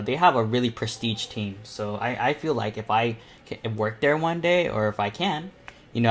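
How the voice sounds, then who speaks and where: An eighteen-year-old man hosts a painting panel, talking casually and informally, rambling and thinking aloud as he works. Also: lowish voice. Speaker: self-taught artist hosting a painting panel. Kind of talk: casual live painting tutorial chat.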